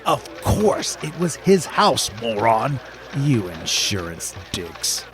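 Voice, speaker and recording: An actor playing a gruff, dismissive police detective in a radio drama. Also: male voice, medium pitch, sing-song, slow, a bit bright, slight background noise. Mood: gruff, impatient. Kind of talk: old-time radio detective drama acting.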